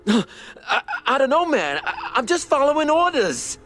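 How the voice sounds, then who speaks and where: A man playing a nervous, dim-witted henchman cop in a video game, halting and stammering as he muddles through his words. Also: very high voice, sing-song, fairly bright tone, heavily acted. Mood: cowed, startled.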